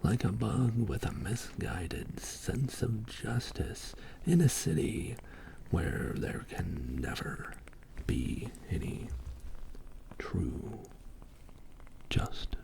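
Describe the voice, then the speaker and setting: A man playing a hopeless, brooding detective in an ASMR bedtime story, breathy and halting. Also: fairly low pitch, big pitch swings, darkish tone, slight background noise, pitched above the performer's own voice, exaggerated voice, poor audio. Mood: bleak, brooding. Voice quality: breathy, creaky. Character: broken, hopeless male detective brooding on justice.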